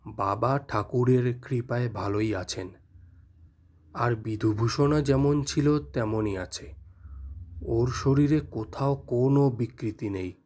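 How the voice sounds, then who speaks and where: A man narrating a scripted horror story in a measured, dramatic, suspenseful manner. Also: mid-range voice, a little mellow.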